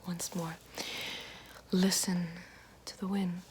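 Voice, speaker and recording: A calm witch voiced by a woman whispers close to the mic in a low, flat, unhurried hush, handing out gentle praise again and again. A steady hiss sits clearly behind her voice. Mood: soothing. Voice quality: whispered, soft. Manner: slow soothing, repeats praise. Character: calm gentle female witch guiding elements. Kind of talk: ASMR roleplay. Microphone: close binaural-style mic.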